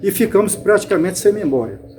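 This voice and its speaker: Older man, from Bahia, reminiscing interviewee, slightly high voice, hesitant restarts, faint background music. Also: expressive. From Brazil, Bahia. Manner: unhurried, with frequent restarts and repeated words. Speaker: interviewee, club founder.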